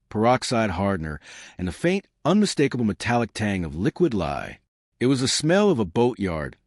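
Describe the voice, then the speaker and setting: A man narrates a dark mystery audio story in a fairly low voice. His delivery is dramatic and deliberate, with lively swings of pitch. The sound is very dry and close. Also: no echo.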